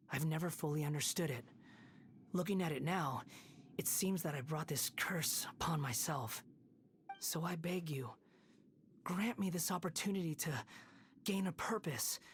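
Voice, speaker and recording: Woman doing a low, brooding puppet boy from a game, solemn n earnest, bit of noise behind. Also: close to an everyday voice. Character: brooding young male puppet, earnest and sorrowful wanderer. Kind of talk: dramatic video game voice acting.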